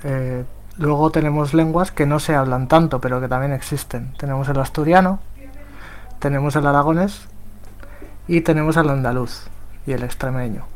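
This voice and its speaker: A man's voice: a little high, steady tone, moderate pace. A man from Spain talking on a remote panel, hesitant, with many restarts and fillers. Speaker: volunteer software translator.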